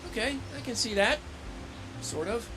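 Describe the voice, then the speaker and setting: An actor playing a plucky young robot soldier in a video game, with a casual, wry and dutiful manner. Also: male voice, very high-pitched, lively intonation, moderate pace, noisy background. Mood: wry, uneasy. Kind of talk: scripted video game cutscene acting.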